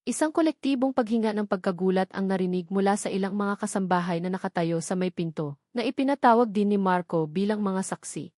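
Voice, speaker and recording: A woman with a medium-pitched voice narrates a scripted romantic drama. She tells it in a measured, solemn way. The recording is dry, with no room sound. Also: darkish tone, no echo.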